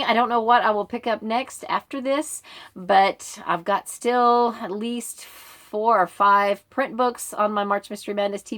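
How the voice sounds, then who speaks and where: A woman's voice: medium pitch, fairly bright tone. A middle-aged American woman giving a casual, conversational reading update to viewers in an informal, rambling way. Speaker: book vlogger and book club member.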